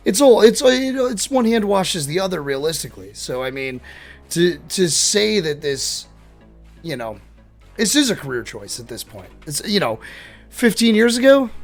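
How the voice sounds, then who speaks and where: A man co-hosting a podcast in casual chat, talking in choppy, repetitive phrases with conversational asides. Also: fairly high pitch. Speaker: podcast host.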